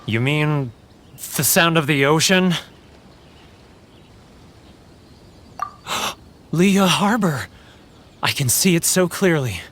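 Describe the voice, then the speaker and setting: An actor playing a theatrical, guilt-ridden and homesick foreign merchant in a video game. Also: male voice, a little high, animated, slow, a bit bright, a little hiss or hum. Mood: nostalgic. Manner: dramatic, wistful, full of sighs. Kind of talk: video game character acting.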